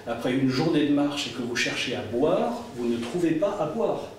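A middle-aged man speaks reflectively, as an author at a book event. He is halting and searches for his words. The room echoes heavily, the microphone is distant and there is clear background noise. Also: a little high, animated, darkish tone. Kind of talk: reflective talk at a book evening. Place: indoor meeting room at a public book event.

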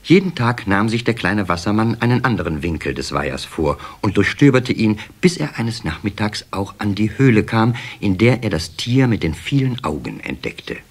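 A man narrating a children's story in a measured storyteller manner. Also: fairly low pitch, husky.

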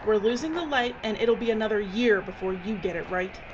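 A woman's voice: medium pitch, fairly quick, close to an everyday voice. A woman playing a bossy, irritable film director in an audio drama, curt and sarcastic. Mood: curt, impatient. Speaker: actor in radio drama. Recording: muffled, clear background noise.

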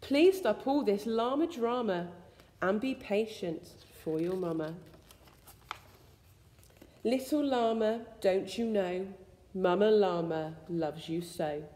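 Young lady reading a kids rhyming book, soft-edged tone, real echoey room. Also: mid-range voice, lively intonation. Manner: deliberate, sing-song storytelling. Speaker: school staff member reading a bedtime story. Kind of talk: expressive read-aloud of a rhyming children's picture book. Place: school room with suspended ceiling, indoors. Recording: echoey indoor room with long reverb.

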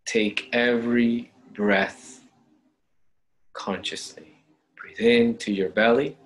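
A man leading a guided meditation, giving gentle, unhurried step-by-step instructions with pauses. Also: medium pitch, steady tone, low-quality recording.

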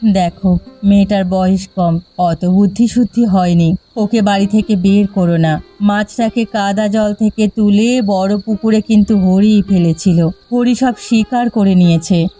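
A woman's voice: mid-range voice, fairly even intonation, measured pace, mellow, faint music behind, lightly acted, poor audio. A woman playing a principled, reproachful village housewife in a cartoon, with a Bengali accent.

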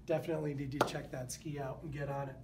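A man in his thirties, a product manager, presenting gear to the camera in an indoor showroom, steady and informative with a few fillers. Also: mid-range voice, quick pace, heavy background noise. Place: indoor showroom with wooden walls.

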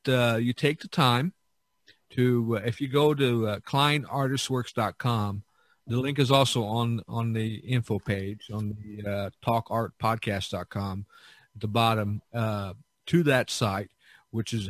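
A middle-aged or older man hosting a casual podcast, talking in a halting, rambling way with many pauses. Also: on the low side, no room sound. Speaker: podcast host.

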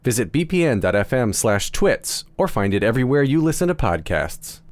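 A man's voice: mid-range voice, very animated, normal speed, no echo. A man reading a scripted promotional announcement as a podcast announcer.

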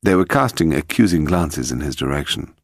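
Deep, gravelly male audiobook narrator. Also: big pitch swings, a little fast, no room sound, studio quality. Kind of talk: scripted narration of a mystery novel.

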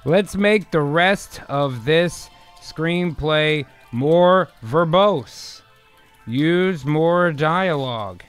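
A man's voice: on the high side, lively intonation, drawn-out words, clean tone, soft background music. A man hosting an improv show, chatting casually and reading out script as narrator. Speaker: host and narrator. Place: indoor home streaming setup.

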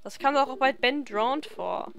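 Bright, high-voiced young woman gaming. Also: expressive, a little slow, gravelly. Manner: playful, silly, giggly. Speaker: let's player. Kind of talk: casual gaming commentary with banter. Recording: game music behind the voice. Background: video game music.

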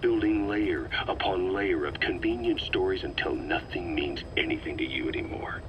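An actor playing a cold, sardonic military interrogator in a video game, deliberate and accusatory, often sinking into a hushed, breathy near-whisper. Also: male voice, low-pitched, muffled recording, noisy background, lightly acted. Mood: cold contempt. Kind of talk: dramatic video game voice acting.

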